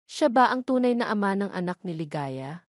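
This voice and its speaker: A woman's voice: medium pitch, big pitch swings, moderate pace, dry recording, studio quality. A woman reading scripted narration for an audio drama love story.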